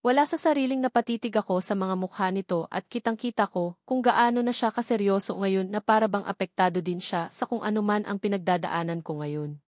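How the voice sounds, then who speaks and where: A woman's voice: mid-range voice, fairly quick, a little mellow, dry recording. Woman narrating a novel, dry sound. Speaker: audiobook narrator. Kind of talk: scripted first-person novel narration.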